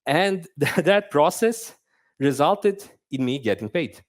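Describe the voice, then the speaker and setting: A man's voice: on the high side, lively intonation, slow. A young man giving a conference talk on stage, conversational and self-deprecating. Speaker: conference speaker, game narrative designer.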